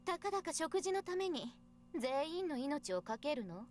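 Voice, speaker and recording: High, swooping, haughty anime woman. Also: very animated, fast, a little hiss or hum. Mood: scornful, challenging. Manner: condescending, teasing, self-assured. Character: haughty, sly, provocative young woman. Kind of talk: Japanese visual novel voice acting.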